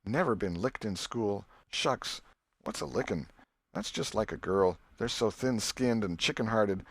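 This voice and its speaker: A man's voice: fairly low pitch, measured pace, dry recording, studio quality. A man voicing a cocky American schoolboy in a storybook, musing to himself in a folksy, dismissive way. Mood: scornful musing.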